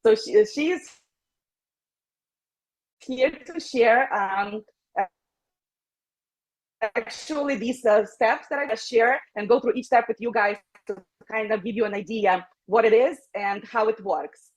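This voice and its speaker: A woman's voice: fairly high pitch, takes it slowly, long pauses. A woman from Ukraine presenting an informal personal testimonial over a dull, lo-fi online call. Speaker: presenter giving a testimonial and introducing the next speaker.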